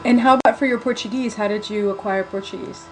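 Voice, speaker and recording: A woman interviews her guests with brief, curious questions. Her pitch sits in the middle range. A clear hum sounds behind her voice. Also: fast talker, poor audio. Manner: brief, inquisitive.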